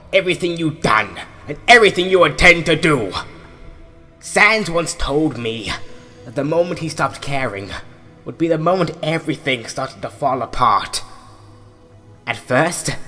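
A vengeful cartoon skeleton hero, grim and betrayed. The voice is bright and forceful, fairly high, and theatrical, with deliberate pauses over soft music. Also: male voice. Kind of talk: dramatic comic dub monologue. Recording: music behind the voice.

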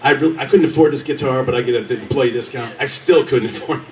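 A man's voice: mid-range voice, quick pace. A wry man in his forties who answers with a joke close at hand. He sounds a little distant on an outdoor stage, with faint open-air noise behind him. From Cincinnati. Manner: wry, joking. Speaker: songwriter contestant.

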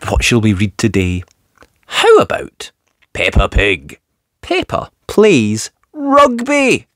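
A woman narrates a children's picture book as a storyteller. Her pitch swoops widely and expressively, and she takes her time. The sound is dry, with no room around it. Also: huge pitch swings, slow, no echo. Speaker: storyteller, channel host.

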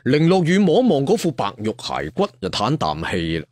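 A man's voice: medium pitch, huge pitch swings, a little fast, darkish tone, no room sound. A man with a Cantonese accent narrating an audio story dramatically, with colloquial asides. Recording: music behind the voice.

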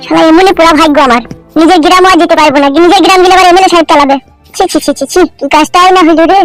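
A man acts out a down-on-his-luck cartoon villager with an extremely high voice. He rattles along in a rural West Bengal accent, whining, cursing and repeating himself in self-pity, with clear music behind him. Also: rapid-fire, a bit bright, low-quality recording. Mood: despairing. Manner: whining, ranting, repetitive. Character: homeless, self-pitying, foul-mouthed village man. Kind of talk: comedy cartoon dialogue.

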